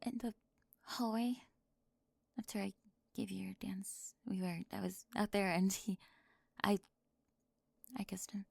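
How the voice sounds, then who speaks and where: A woman voicing a flustered, teasing high school cheerleader in an ASMR roleplay, halting and giggly, often dropping into breathy murmurs. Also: fairly even intonation, dry recording, heavily acted. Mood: hushed, anxious. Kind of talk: ASMR roleplay audio drama.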